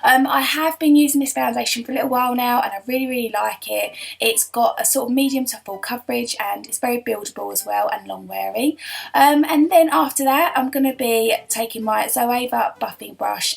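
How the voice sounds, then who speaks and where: A young woman, hoarse from a cold, chatting her way through a makeup tutorial voice-over. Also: a little high. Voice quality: croaky. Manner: chatty, rambling, lots of "um" and "I'm gonna be". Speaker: beauty vlogger.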